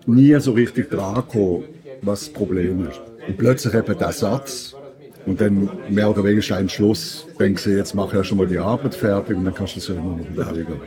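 An older man with a low voice and a Swiss German accent. He tells personal stories in a casual conversational way, with clear chatter behind him. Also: fairly quick. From Switzerland. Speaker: radio maker, interviewer.

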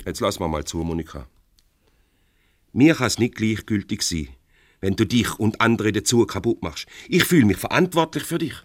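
An actor playing a stern, paternal older boss in a radio play, reproachful and patronising, with a Swiss German accent. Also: male voice, on the low side, lively intonation, moderate pace, no echo, studio quality. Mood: grave.

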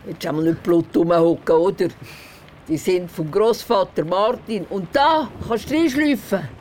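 An older woman with a Swiss German accent playing a gruff but caring grandmother in a radio play, brusque and teasing. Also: on the low side, animated, moderate pace, a little mellow, slight background noise, natural voice.

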